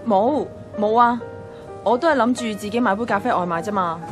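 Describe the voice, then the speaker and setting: A woman's voice: medium pitch, fairly quick, over music, lightly acted. A woman playing an anxious, defensive single office worker in a Hong Kong Cantonese radio drama, speaking in an emphatic, plaintive way. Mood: shy, flustered. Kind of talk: radio drama dialogue with narration.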